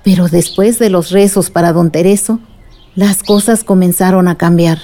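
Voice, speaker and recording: A woman's voice: fairly low pitch, steady tone, normal speed, dark tone, close to an everyday voice. An actress playing a woman recounting village events in a radio drama. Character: woman recounting village troubles to an eager listener.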